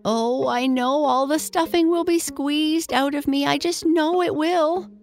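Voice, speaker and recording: A woman's voice: high voice, steady tone, measured pace, faint music behind, voice pushed up. Woman voicing a fretful, plaintive stuffed toy elephant. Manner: fretful, lamenting. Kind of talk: children's storybook character voice.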